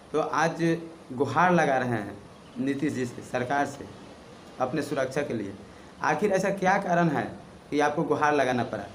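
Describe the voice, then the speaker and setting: A man with a Bihari accent speaks in an echoey room with faint noise behind him. He addresses people directly as a channel commentator. His delivery is confrontational and scolding, built on pointed questions. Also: medium pitch. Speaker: YouTube channel host. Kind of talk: opinion commentary to camera, direct address to viewers. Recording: roomy echo, faint background noise.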